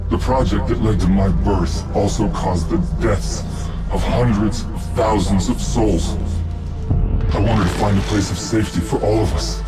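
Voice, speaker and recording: A brooding artificial intelligence delivers a solemn game monologue. The voice is deep and very slow, weighing each phrase, with loud music underneath. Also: male voice. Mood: grave, troubled. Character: brooding male artificial intelligence born from human minds. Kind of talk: dramatic video game cutscene acting.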